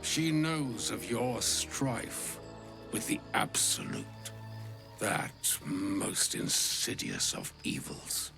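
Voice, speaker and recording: A man's voice: medium pitch, wildly swooping pitch, gravelly, music in the background, heavily acted. An actor playing an ancient, gluttonous wizard in a video game, an old man with a florid, pompous, theatrical way of talking. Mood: grave, solemn. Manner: pompous, florid, wordy, halting when uneasy. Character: ancient, gluttonous, grandiloquent old wizard, grave yet fussy.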